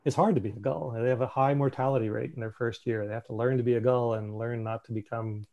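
A man's voice: mid-range voice, steady tone, quick pace, highs cut off. A man casually presenting a slideshow on a video call. He thinks aloud, with fillers and restarts. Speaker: meeting presenter.

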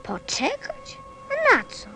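A woman's voice: slow pace, over loud music, close to an everyday voice. A woman playing a small, sensitive little prince in a radio play, with a childlike, earnest, questioning manner. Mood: wistful.

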